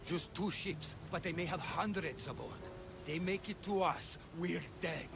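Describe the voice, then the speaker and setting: An actor playing the blunt, sardonic, exasperated leader of a threatened village in ancient Greece, in a video game cutscene. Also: male voice, sits high, measured pace, vocal fry, music in the background. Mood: urgent.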